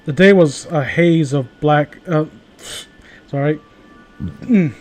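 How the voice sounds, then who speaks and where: Guy w a kinda high voice, reading stories to friends, fumbles words, chatty. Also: takes it slowly, darkish tone, soft background music. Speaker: host reading stories aloud. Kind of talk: casual group banter and amateur story reading.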